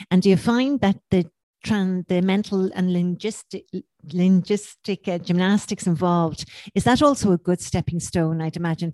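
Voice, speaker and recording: A woman's voice: fairly low pitch, warm tone, no room sound. A woman hosting an interview podcast, asking encouraging questions and often using the guest's name. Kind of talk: hosted interview.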